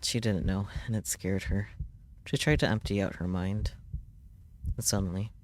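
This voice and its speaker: A man's voice: on the low side, normal speed, slight background noise. A man reading a visual novel's script aloud as a streamer, in a dramatic storytelling style. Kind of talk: dramatic read-aloud narration of a game script.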